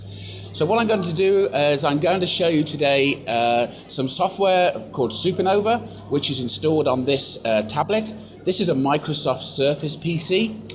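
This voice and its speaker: Chatty English man demoing software. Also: on the high side, lively intonation, moderate pace. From England. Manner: chatty, self-deprecating, many fillers. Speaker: software presenter. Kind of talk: live product demonstration. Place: conference room.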